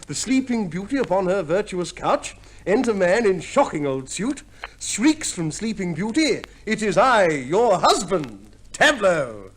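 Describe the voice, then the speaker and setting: Hearty, high-pitched returning soldier. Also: male voice, very animated, measured pace, a bit bright, full character voice. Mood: jovial, hearty. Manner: exclamatory, bantering, chuckling. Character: jovial, hearty soldier husband home from war. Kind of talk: 1950s radio comedy acting. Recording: noisy old radio recording.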